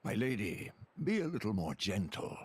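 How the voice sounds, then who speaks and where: A man voicing a gentle, courteous older beast retainer in a video game, speaking formally and politely. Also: lowish voice, very animated, normal speed, slightly rough. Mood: calm, admonishing. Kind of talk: video game cutscene acting.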